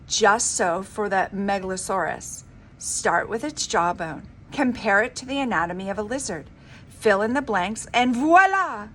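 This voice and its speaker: A woman reading a children's story aloud in an expressive, dramatic, emphatic storybook manner. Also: medium pitch, measured pace, slight background noise. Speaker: reader of a children's book.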